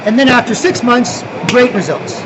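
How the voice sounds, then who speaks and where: A man's voice: extremely high pitch, lively intonation, moderate pace, noisy background. A Canadian man from Toronto vlogging outdoors, rambling, restarting often and tagging lines with "right?". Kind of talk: rambling street vlog monologue.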